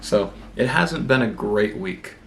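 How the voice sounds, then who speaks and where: A young man with a cold, congested, chatting casually and rambling in a relaxed, self-deprecating way as a book vlogger. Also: lowish voice, takes it slowly, gravelly. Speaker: booktube vlogger. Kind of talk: casual vlog chat.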